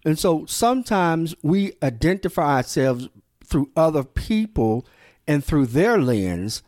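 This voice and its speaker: A man hosting a podcast in an animated, affirming way, reflecting on his own past. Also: fairly high pitch, slow pace, dry recording, clean studio sound. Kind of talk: podcast conversation.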